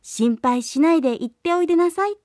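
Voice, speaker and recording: A woman voicing a chorus of small young goats, talking back in shrill, defiant shouts. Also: animated, a little fast, no echo, clean studio sound. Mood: reassuring. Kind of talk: storybook reading.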